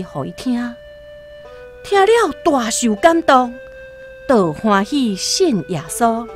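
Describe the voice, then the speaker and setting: A woman with a Taiwanese accent narrating a scripted literary radio story expressively. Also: a little high. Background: soft plucked-string music.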